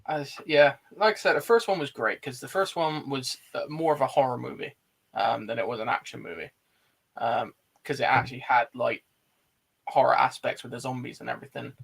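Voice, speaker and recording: Male host, mid-pitched with heavy vocal fry, casual conversational delivery. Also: brisk, fairly bright tone. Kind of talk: casual chat.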